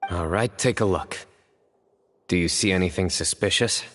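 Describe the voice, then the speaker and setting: A man playing a serious, composed young investigator in a video game, speaking in a measured, formal, deadpan way. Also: sits low, in a large hall, a little hiss or hum. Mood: businesslike. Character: serious, composed young male investigator, former student of scholar.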